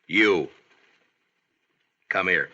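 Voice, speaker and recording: An American actor playing a tough, blunt, commanding Old West marshal in a 1950s-style radio western. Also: male voice, low-pitched, extremely slow, pushed and bright, muffled recording, exaggerated voice. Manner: blunt, challenging, authoritative. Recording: old radio recording with background hiss.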